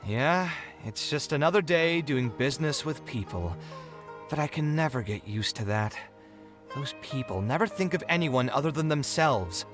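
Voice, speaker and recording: A man's voice: medium pitch, expressive, normal speed, over music, lightly acted. A man voicing an idealistic, dramatic and easily flustered young architect in a video game, earnest and exasperated. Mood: weary, resigned. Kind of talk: video game character acting.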